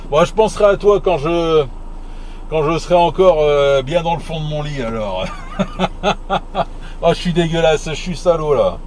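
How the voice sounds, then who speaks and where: A man's voice: on the high side, lively intonation, measured pace, pauses often, a little mellow, a little hiss or hum. A man chatting casually as a CB radio operator, rambling and repeating his phrases in colloquial language.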